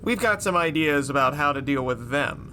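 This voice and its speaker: Higher-pitched man at a game table. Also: animated, normal speed, pushed and bright, a little hiss or hum. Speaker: player in a tabletop role-playing game. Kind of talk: casual game-table talk and in-character questions.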